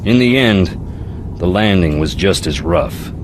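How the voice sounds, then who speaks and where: An actor playing a brooding, philosophical young ghost hunter in a video game, with a Southern US accent and a wry, folksy drawl. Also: male voice, low-pitched, expressive, unhurried, slight background noise. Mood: wistful, wry. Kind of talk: video game character dialogue.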